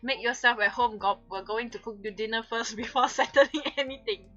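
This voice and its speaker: Female streamer, bright and highish voice, giggly dramatic story reader, casual asides, slightly muffled audio over music. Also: brisk. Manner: giggly, theatrical, often breaking off from the script. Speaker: streamer reading aloud. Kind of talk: dramatic fan fiction reading with casual asides. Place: live online stream.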